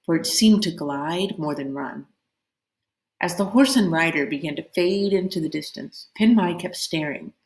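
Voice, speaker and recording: Lady doing dramatic storybook read aloud, lowish voice, roomy echo. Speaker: read-aloud host reading a children's novel. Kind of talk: expressive storybook narration. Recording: roomy, echoing indoor sound.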